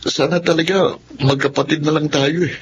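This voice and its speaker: Male actor for sulky, lonely Filipino rich kid, radio drama, plaintive delivery, muffled with clear background noise. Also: a little high, steady tone, normal speed, lightly acted. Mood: hurt, plaintive. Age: young adult. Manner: plaintive, earnest, brooding. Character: hurt, lonely rich student son, sulky. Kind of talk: Tagalog radio drama acting.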